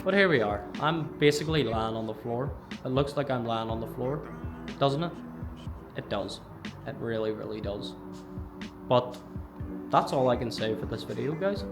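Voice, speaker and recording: A man's voice: mid-range voice, normal speed, pauses often, music in the background. A man with a Northern Irish accent giving a chatty, rambling vlog tour of an office, filmed on a handheld gimbal camera. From Northern Ireland. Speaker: gaming vlogger. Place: indoor office space.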